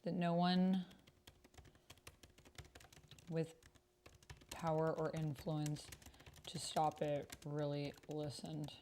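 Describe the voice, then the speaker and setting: Woman streamer w/ lowish voice, chatty n casual, keyboard typing behind her. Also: flat delivery, very slow, long pauses, warm tone, a little hiss or hum. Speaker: streamer hosting an actual play. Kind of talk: casual livestream chat.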